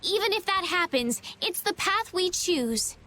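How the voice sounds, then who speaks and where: An actress playing an earnest, idealistic young heroine in a video game, gentle but stubborn and pleading. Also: female voice, very high-pitched, animated, measured pace, a little hiss or hum, exaggerated voice. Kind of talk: dramatic video game voice acting.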